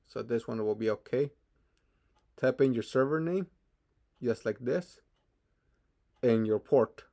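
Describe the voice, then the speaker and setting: Hesitant man walking through a software tutorial. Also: medium pitch, gravelly. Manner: hesitant, halting, stammering and repeating words. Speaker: tutorial presenter. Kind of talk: unscripted software screen tutorial.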